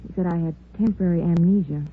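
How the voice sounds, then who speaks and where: A woman's voice: lowish voice, fast talker, slight background noise, lightly acted. An American woman playing an apologetic, bewildered young woman in a 1940s radio drama, earnest and emotional. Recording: muffled old radio recording, faint music.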